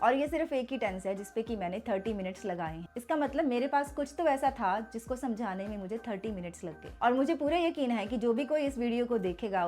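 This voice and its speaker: Brief: female language teacher, fairly high voice, patient and upbeat, soft music underneath. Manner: patient, encouraging, rhetorical questions. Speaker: English teacher. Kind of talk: casual explanatory lesson, mixing Hindi and English.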